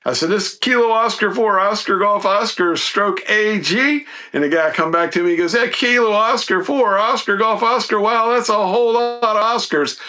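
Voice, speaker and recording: A man with a fairly high, very bright and forceful voice. He chats casually like a podcast guest, rambling through anecdotes in a folksy, animated way. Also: moderate pace, hardly any pauses. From United States, Southeastern Virginia. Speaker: podcast guest, radio hobbyist. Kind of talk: casual chat, anecdotes about a hobby.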